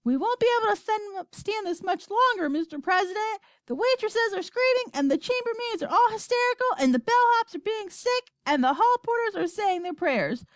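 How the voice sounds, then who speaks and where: Woman acting out a frantic shouting male astronaut, high-pitched. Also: expressive, moderate pace, few pauses, no echo.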